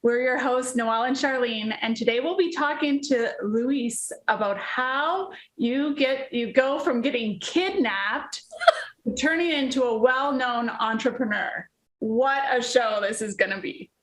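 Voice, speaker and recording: A woman's voice: fairly high pitch, a little slow. Woman opening a podcast in an echoey room. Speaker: podcast co-host. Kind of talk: scripted show opening.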